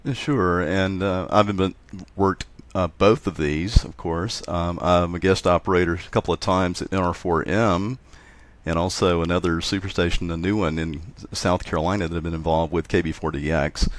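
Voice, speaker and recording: A man giving a casual, halting explanation as an interview guest, repeating words for emphasis. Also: deep voice, fairly even intonation, no room sound. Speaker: interview guest, amateur radio contest operator.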